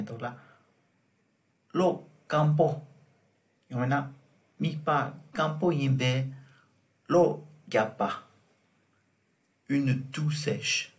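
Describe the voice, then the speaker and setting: A man teaches French words at a crawling pace, saying each one twice and spelling out examples. His voice has a gravelly, fry-tinged edge and sits in a middling register. The delivery is patient and deliberate, like a recorded classroom lesson. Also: medium pitch. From Tibet. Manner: slow and deliberate, repeating each word and example. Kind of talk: vocabulary lesson explained in Tibetan.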